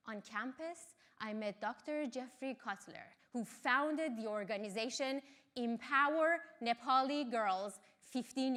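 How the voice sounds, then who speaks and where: A woman from Iran, a Farsi speaker, giving a motivational talk in a measured, earnest way. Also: on the high side, a bit bright.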